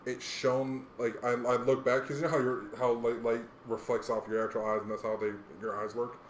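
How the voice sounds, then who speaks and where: An American man co-hosts a podcast in loose, casual talk full of slang and profanity. His mid-pitched voice wanders from point to point. The room echoes noticeably, with faint noise behind him. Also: little pitch movement, a little fast. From Hawaii, United States. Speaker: podcast co-host. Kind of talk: casual chat. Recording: roomy echo, faint background noise.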